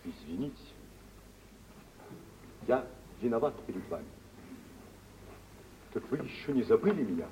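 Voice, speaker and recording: An actor playing a suave, proud gentleman and reproachful former lover in a Russian radio play, with theatrical, declamatory delivery. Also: mid-range voice. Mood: contrite, tender. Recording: muffled, noisy 1950s radio play recording.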